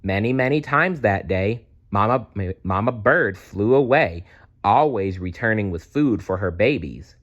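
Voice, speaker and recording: Slow, very expressive male storyteller. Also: fairly low pitch, lo-fi sound. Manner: dramatic, deliberate storytelling. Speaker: storybook narrator. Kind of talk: expressive children's read-aloud.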